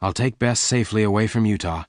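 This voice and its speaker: A man's voice: fairly low pitch, fast, no room sound, clean studio sound, close to an everyday voice. A man reading the part of a decisive, protective young cowboy in a storybook, firm and urgent. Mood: earnest. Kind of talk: dramatised audiobook dialogue.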